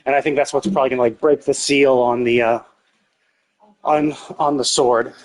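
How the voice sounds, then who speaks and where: A man's voice: mid-range voice, fairly even intonation, moderate pace, rough recording. A man giving casual, speculative live game commentary as a streamer, often checking himself with "right?". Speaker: game livestreamer. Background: game music.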